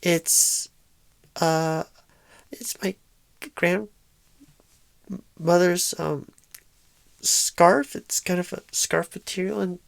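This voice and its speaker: A woman playing a shy, inexperienced, nervous job recruiter in an ASMR roleplay, halting and stammering in a soft-spoken, awkward way. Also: on the low side, steady tone, gravelly, no echo, exaggerated voice.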